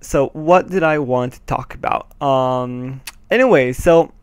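Slow-talking Israeli teen with a smooth, dry voice. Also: male voice, medium pitch, dry recording. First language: Hebrew. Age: teens. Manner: hesitant, many ums, nervous laughs, swears when the game goes wrong. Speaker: gaming channel host. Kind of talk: casual gameplay commentary. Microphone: headset.

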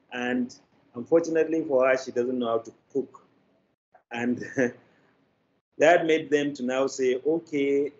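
Older man, Nigerian accent, mid-pitched, anecdotal interview answer, halting phrasing, dulled video-call sound. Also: darkish tone, a little hiss or hum. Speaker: media producer, interview guest. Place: indoor room, on a video call. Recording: slightly dull video-call audio.

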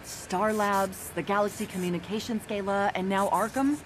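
An actress playing a brisk, sharp female newspaper reporter in a video game. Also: mid-range voice, fairly even intonation, slight background noise, close to an everyday voice. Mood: wry.

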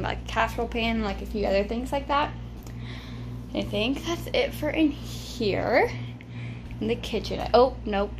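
A woman's voice: on the high side, a bit bright, slight background noise. Chatty young woman filming a home tour. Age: 20s. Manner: chatty, many "like" and "um" fillers. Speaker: vlogger. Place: small apartment indoors. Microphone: handheld phone camera.

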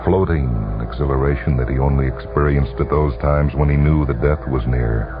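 Super low male narrator, slow-burn suspense radio story, thin old broadcast sound w music under it. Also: steady tone. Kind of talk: dramatic radio short-story reading. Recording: tinny, band-limited vintage radio broadcast.

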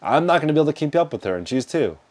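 A man's voice: a little high, big pitch swings, rapid-fire, darkish tone. A man, answering viewer questions in a casual, practical way, recorded on a clip-on lapel mic in an indoor storage room. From United States. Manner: practical, conversational, gestures with his hands while talking. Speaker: reselling business host answering viewer questions. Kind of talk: casual livestream Q&A. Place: indoor storage room lined with shelves of boxes.

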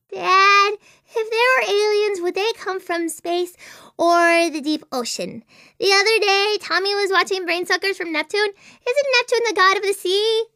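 A woman's voice: very high voice, pushed and bright, no room sound, clean studio sound, heavily acted. A woman voicing an imaginative, anxious little girl in a video game, with an earnest, dramatic, childlike delivery. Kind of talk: video game character voice acting.

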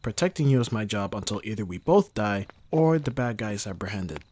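A man playing a gruff, protective young bodyguard in an ASMR roleplay, with a husky voice and a sardonic, intimate delivery. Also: fairly low pitch, sing-song, fairly quick, darkish tone, a little hiss or hum. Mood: firm, guarded. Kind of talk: ASMR roleplay audio drama.

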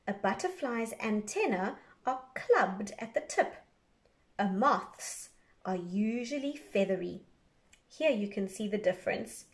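A woman teacher reading an educational book aloud for young children in a measured, instructional way, enunciating carefully. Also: mid-range voice, lively intonation.

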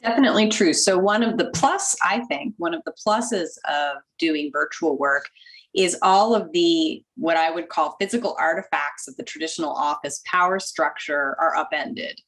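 A woman in her forties, a doctor guest on a video call, explaining practical tips in a clear, instructive way through wired earbuds. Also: deep voice, normal speed, a bit bright, low-quality recording. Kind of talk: interview answers, practical tips. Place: home office with bookshelf.

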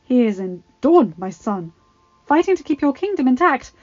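A woman's voice: high voice, very animated, moderate pace, darkish tone, dry recording, dull audio, soft background music, pitched above the performer's own voice. A woman playing a proud queen mother. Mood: reassuring.

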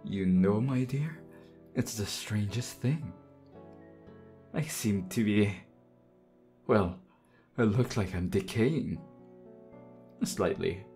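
A man's voice: lowish voice, slow pace, long pauses, warm tone, soft background music. A man playing an undead demon husband in an ASMR roleplay, obsessively loving and unhinged, theatrical in manner. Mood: eerie, bemused. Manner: theatrical, swinging from tender murmurs to desperate demands. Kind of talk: ASMR roleplay audio acting.

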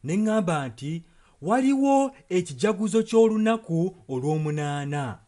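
A Ugandan man serves as a sermon interpreter. His voice sits a little high for a man, and he delivers the preaching in short translated passages. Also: very animated, normal speed, dark tone.

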